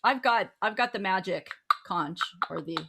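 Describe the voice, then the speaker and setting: A woman's voice: medium pitch, animated, normal speed, gravelly, very noisy. A woman hosting a talk show in playful, casual banter.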